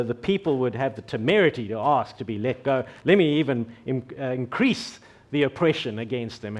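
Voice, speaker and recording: A middle-aged man from South Africa with a South African accent, preaching a conversational sermon and slowing down for scripture quotes. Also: medium pitch. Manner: conversational, self-deprecating, slows down for scripture quotes. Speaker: pastor.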